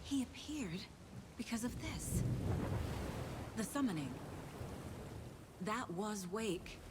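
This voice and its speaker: An actress playing a resolute, urgent investigator who takes command, in dramatic game cutscene style. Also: female voice, mid-range voice, lively intonation, slow pace, heavy background noise. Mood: grave, explaining.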